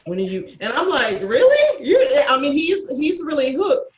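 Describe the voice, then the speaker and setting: A woman chatting casually on a video call, telling anecdotes in an earnest, halting way. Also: high voice, wildly swooping pitch, lo-fi sound. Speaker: audio editor and cast crew member. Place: indoor room on a video call. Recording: echoey room over video call.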